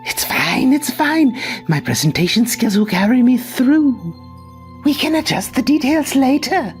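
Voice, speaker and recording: A woman voicing a cocky, careless young boss's son in a manga dub, breezy and excitable. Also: high voice, unhurried. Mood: dismissive. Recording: music under the voice.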